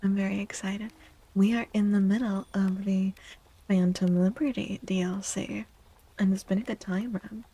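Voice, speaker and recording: A woman speaking softly and breathily in a gentle, unhurried near-whisper, chatting as an ASMR livestream host and often softly repeating phrases. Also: medium pitch, fairly even intonation, rough recording. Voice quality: creaky. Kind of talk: soft-spoken ASMR livestream chat.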